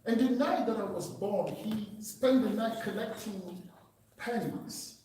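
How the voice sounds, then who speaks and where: A man's voice: sits high, takes it slowly, warm tone, a little hiss or hum. A man from Cameroon, giving an earnest, emphatic personal testimony speech at a meeting. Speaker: public speaker. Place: meeting room. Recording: echoey hall, distant mic.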